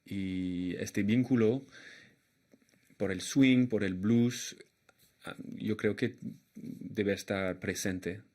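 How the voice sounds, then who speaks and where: A young man speaks with a slight French accent, his voice sitting fairly low. He talks very slowly and hesitantly, pausing and restarting as he reaches for words while answering an interviewer. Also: a little mellow. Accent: slight French accent in Spanish. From Argentina and France. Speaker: jazz pianist and singer, interview guest.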